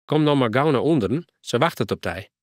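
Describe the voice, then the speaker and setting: A man voicing a brisk, hospitable middle-aged mother in a storybook reading. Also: medium pitch, expressive, no echo, clean studio sound, close to an everyday voice.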